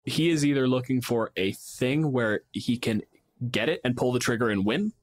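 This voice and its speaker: A man's voice: mid-range voice, normal speed. A man co-hosting a podcast in a casual, chatty style about tabletop games. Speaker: podcast co-host.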